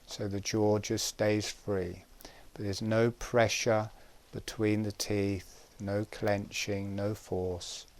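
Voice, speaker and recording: A man giving guided breathing instruction in a calm, gentle, measured way, pausing between short phrases. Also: fairly low pitch.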